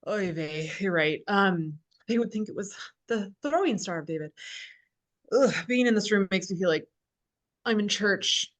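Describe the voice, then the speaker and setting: A woman's voice: medium pitch, measured pace, lightly acted. A woman playing a sarcastic, irreverent female show host in a wry, exasperated manner at a comedy table read.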